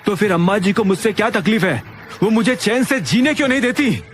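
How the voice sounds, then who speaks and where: A man's voice: high-pitched, animated, fairly quick, a little hiss or hum, voice pushed up. A man voicing a brooding, suspicious young hero in an audio drama, intense and questioning. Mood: aggrieved, angry.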